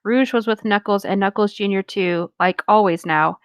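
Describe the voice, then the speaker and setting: A woman's voice: mid-range voice, moderate pace, clean tone, dry recording. Woman podcast co-host, dry close sound. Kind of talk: reading fan fiction aloud with casual banter.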